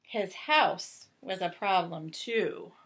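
A middle-aged woman reading a picture book aloud to children as a teacher, expressive and deliberate, with drawn-out emphasis. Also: fairly low pitch, a little hiss or hum. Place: small home bedroom, indoors.

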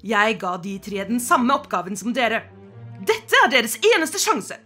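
En kvinne som spiller en streng, formell og bebreidende eldre hekserektor i en animert tegneseriedubbing. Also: female voice, fairly high pitch, sing-song, bright, forceful tone. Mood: stern. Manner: formal, authoritative, reproachful. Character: stern, scolding older witch headmistress. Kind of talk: animated cartoon fandub. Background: music.

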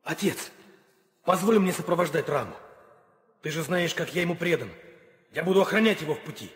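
An actor playing a loyal, protective younger prince, ardent in a theatrical audio play. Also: male voice, fairly high pitch, brisk, natural voice. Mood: eager. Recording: reverberant record with faint noise.

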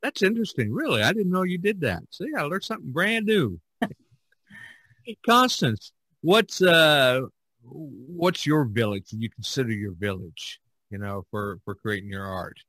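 A man hosting a casual podcast discussion, talking haltingly and often restarting his phrases. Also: medium pitch, animated, bright, forceful tone, breathy edge, dry recording, low-quality recording. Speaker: podcast host.

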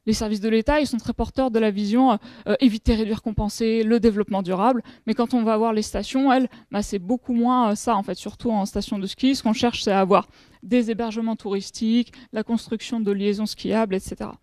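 A woman's voice: a little high, fairly even intonation, fairly quick, darkish tone, no echo. A woman in her thirties giving a conference talk at a panel table, explaining with frequent hesitations and restarts. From France. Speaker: academic conference speaker. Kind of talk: conference presentation.

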